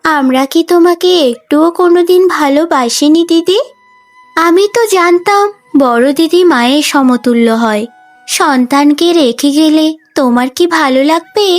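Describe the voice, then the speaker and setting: A woman's voice: high-pitched, moderate pace, natural voice. A woman voicing a sweet, selfless younger daughter in a cartoon story, earnest and affectionate. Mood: hurt, pleading. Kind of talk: Bengali cartoon story dubbing. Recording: music bed under the voice.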